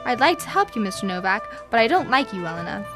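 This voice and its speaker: An actress playing a cool, hard, seductive femme fatale with cutting dismissiveness, in 1940s radio noir style. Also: female voice, medium pitch, sing-song, fast talker, fairly bright tone. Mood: cool, dismissive. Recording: vintage 1940s radio recording with music.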